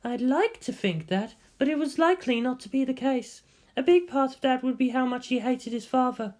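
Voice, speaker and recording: A narrator performs a stern woman scientist for a fan fiction audiobook. Her voice sits high. She speaks in clipped, instructional phrases, like a commanding teacher who is easily irritated. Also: moderate pace, a little mellow, close to an everyday voice. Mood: dry, sceptical. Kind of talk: amateur fan fiction reading with character voices.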